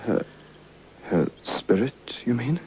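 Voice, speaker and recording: A man playing an earnest, polite gentleman narrator in an old-time radio drama. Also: mid-range voice, lively intonation, slow. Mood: earnest, sympathetic. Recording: muffled, faintly noisy old radio recording.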